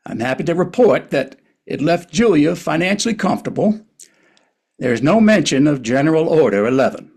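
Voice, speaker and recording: A man's voice: a little high, sing-song, brisk, gravelly, a little mellow, breathy edge, exaggerated voice. A man playing a wry, reflective older male writer and narrator in a table reading of a historical play, with a hesitant storytelling manner.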